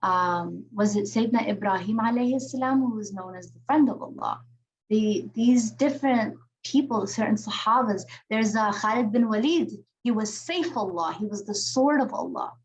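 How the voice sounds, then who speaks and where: A woman's voice: mid-range voice, moderate pace, poor audio. A woman facilitating an online youth check-in, affectionate and encouraging, praising each young speaker in turn. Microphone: video call.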